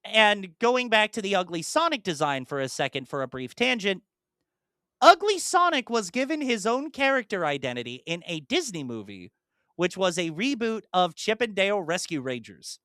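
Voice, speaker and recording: Podcast host, casual chat, animated delivery, lively intonation, dry recording. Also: medium pitch, normal speed, dry recording. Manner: animated, rambling, mimics imagined dialogue. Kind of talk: casual chat, enthusiastic monologue about films.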